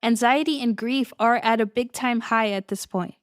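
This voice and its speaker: A woman's voice: on the high side, moderate pace, dry recording, clean studio sound. A young adult woman hosting her own podcast as a coach, talking casually and upbeat, often breaking into little laughs. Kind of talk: solo podcast monologue.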